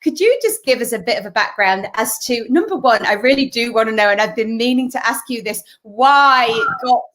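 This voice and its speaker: A woman's voice: on the high side, lively intonation, brisk, a bit bright. A woman in her thirties hosting a video call interview, chatty and enthusiastic. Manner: enthusiastic, chatty, laughs often. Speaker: host. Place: home room with shelves indoors. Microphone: video call webcam.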